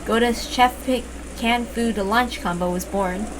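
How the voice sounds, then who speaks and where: A woman reading a visual novel aloud as expressive first-person story narration, with emphatic openings to phrases. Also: fairly high pitch, measured pace. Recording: noticeable background noise.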